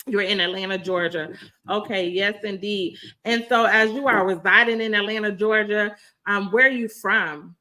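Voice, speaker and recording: Bright-voiced woman podcast host, slightly raspy. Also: medium pitch, fairly even intonation, moderate pace. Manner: effusive, repetitive, preacher-like cadence. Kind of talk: enthusiastic podcast intro.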